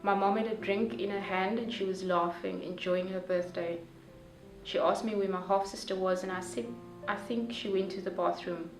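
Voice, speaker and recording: A young woman reading a first-person story aloud in a careful, measured way. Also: mid-range voice, fairly even intonation. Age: young adult. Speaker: youth reader. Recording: distant echoey room with soft music.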